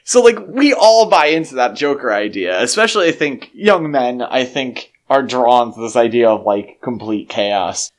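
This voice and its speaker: Man, medium pitch, podcast co-host, casual film discussion, halting, self-interrupting, conversational. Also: lively intonation.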